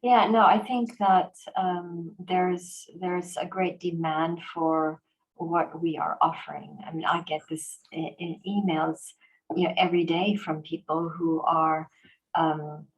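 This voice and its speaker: A woman with a lowish voice talks in an easy, conversational way. She tells her stories with animation, breaking into quick restarts and fillers. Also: little pitch movement, lo-fi sound. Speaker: organization president and host. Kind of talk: conversational interview.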